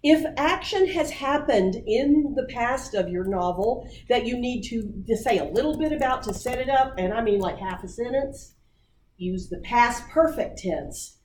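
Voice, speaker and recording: A woman's voice: fairly high pitch, animated, unhurried, darkish tone, slight background noise. Woman coaching writers in a roomy meeting space. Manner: encouraging, coaching, many fillers. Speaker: workshop presenter. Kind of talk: writing workshop talk. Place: meeting room. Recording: roomy distant audience recording.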